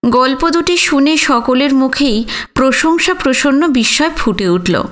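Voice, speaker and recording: A woman narrating a literary audio story in a measured, solemn manner with deliberate phrasing. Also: sits high, lively intonation, fairly bright tone.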